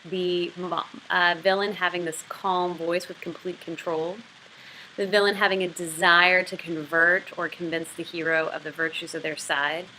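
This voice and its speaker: A woman teaching a writing lesson, explaining and reading passages aloud in an expressive way. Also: on the low side, moderate pace, a little hiss or hum, poor audio. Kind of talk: literary craft lesson with read-aloud passages.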